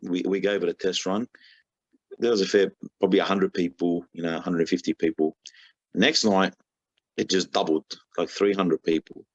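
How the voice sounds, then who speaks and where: A man's voice: deep voice, fairly even intonation, normal speed, no echo. A man speaking as a podcast guest in a casual, rambling way, filling his talk with "you know" and "sort of" and restarting his sentences often. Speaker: podcast guest, religious reciter. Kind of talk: casual conversational interview. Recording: dull, lo-fi, dry podcast audio.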